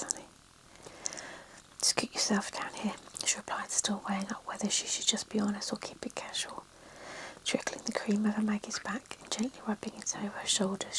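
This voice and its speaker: A woman reading a story aloud in a soft, unvoiced whisper, in a hushed and steady storytelling manner. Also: medium pitch, poor audio. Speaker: narrator.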